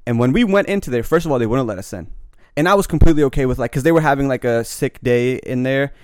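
A young man from Pakistan talks loosely in a casual podcast chat. His voice sits at an ordinary mid pitch and is recorded close and dry, with no room sound. He rambles in slang and keeps stopping to correct himself. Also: quick pace, darkish tone, smooth, dry recording.

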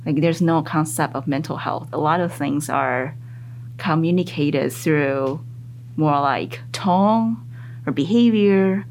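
Woman, first language Mandarin, psychologist guest, lower-pitched, thoughtful, faint background hum. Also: slow pace, darkish tone. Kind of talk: casual interview.

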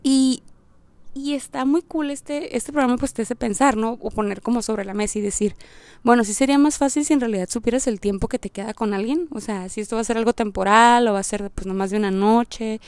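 A woman with a fairly high, soft-edged and mellow voice talks casually as a podcast host. She rambles through her thoughts in slangy, informal speech. The sound is close and dry, with very little room around it. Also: brisk, clean tone, no echo. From Puerto Rico. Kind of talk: casual monologue, reflective chat.

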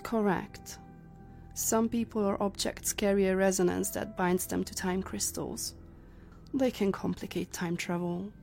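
A woman acting as a composed female leader of time travelers in a sci-fi audio drama. Her voice is mellow and mid-pitched, measured and authoritative, with faint music underneath. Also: fairly even intonation, gravelly. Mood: grave, explaining.

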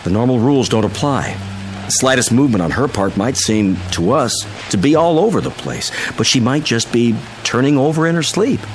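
An actor in a radio drama playing a level-headed family friend, measured and reasoning. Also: male voice, mid-range voice, expressive, soft background music, natural voice. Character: level-headed, rational family friend, a man explaining the inexplicable.

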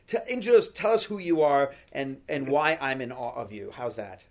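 A man's voice: medium pitch, animated, moderate pace, a little mellow. A man hosting a podcast interview, speaking emphatically and giving listeners direct advice. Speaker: podcast host.

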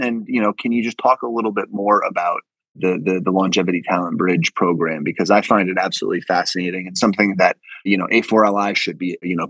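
A man's voice: sits low, fairly bright tone, slightly rough, dry recording, low-quality recording. A man hosting a conversational interview, wordy and informal, often checking for agreement. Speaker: podcast host.

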